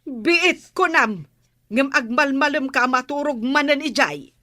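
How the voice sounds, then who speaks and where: A woman's voice: high-pitched, a little slow, bright, forceful tone, dry recording, studio quality. A woman acting a scolding, sarcastic village captain's wife in a radio comedy drama, with an Ilocano accent.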